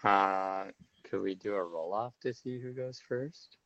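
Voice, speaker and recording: A man's voice: lowish voice, unhurried, fairly bright tone, dry recording. A man playing in a tabletop game, giving short, hesitant replies on a dull lo-fi mic. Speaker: player. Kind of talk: casual chat.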